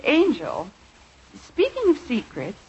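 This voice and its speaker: Patient housewife mother: gentle, coaxing, fairly high and expressive, vintage radio with faint noise. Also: slow, muffled recording, exaggerated voice. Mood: gently coaxing. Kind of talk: 1950s radio sitcom acting.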